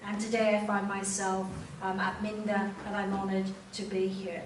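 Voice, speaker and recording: A woman's voice: medium pitch, level tone, normal speed, a little hiss or hum. Welsh woman speaking in an echoey hall. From Wales. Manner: warm, informal speaking with many ums and direct questions to the audience. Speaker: chief executive giving a speech. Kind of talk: speech to an audience at an event. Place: large room at a volunteer event. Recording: echoey room, voice from far off.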